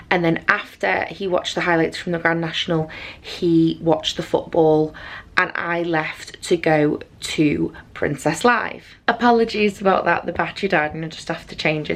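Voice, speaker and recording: A woman with a naturally raspy voice, hoarse from losing her voice, chatting through a rambling vlog recap in her cruise cabin on a handheld camera. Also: on the low side. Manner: chatty and rambling, with frequent fillers. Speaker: travel vlogger. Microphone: handheld compact camera.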